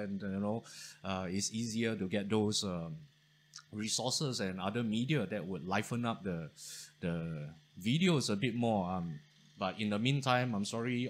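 A man. He speaks warmly and loosely, with frequent ums and restarts. He is recorded close up on a studio mic in a home study. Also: medium pitch. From Singapore. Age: 40s. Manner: chatty, thankful, many fillers and restarts. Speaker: history channel host. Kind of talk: casual talk to camera.

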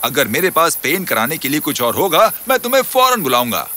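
High-pitched, hearty rich businessman. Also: big pitch swings, pushed and bright, exaggerated voice. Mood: pleased, generous. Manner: theatrical, drawn-out exclamations. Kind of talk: animated children's fairy tale dubbing.

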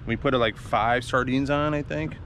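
A man's voice: mid-range voice, fairly even intonation, normal speed. Casual middle-aged man in outdoor noise. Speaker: vlogger, kayak angler. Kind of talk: casual outdoor vlog chat. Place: outdoors on a kayak on open water. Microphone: action camera at about arm's length. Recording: loud wind and water noise.

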